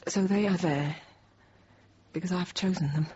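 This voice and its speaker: A woman playing a weary schoolteacher in her thirties held hostage, narrating a radio drama in measured, intimate short phrases. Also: on the low side, darkish tone, slightly rough, dull audio. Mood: weary, subdued. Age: 30s. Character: thirty-year-old female teacher held hostage, weary, reflective.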